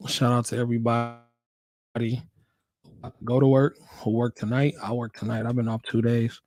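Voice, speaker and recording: An American man hosting a casual livestream, laid-back and slangy, repeating his phrases, with a voice hoarse from a cold he is getting over. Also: mid-range voice, little pitch movement, normal speed, darkish tone, dry recording. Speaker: livestream host, working nurse.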